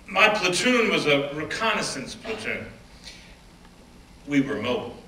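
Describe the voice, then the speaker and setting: A man giving a first-person dramatic reading of an oral history, measured and deliberate, often dropping to hushed phrases. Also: on the high side, sing-song. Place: stage or event room. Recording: roomy, distant mic with faint background noise.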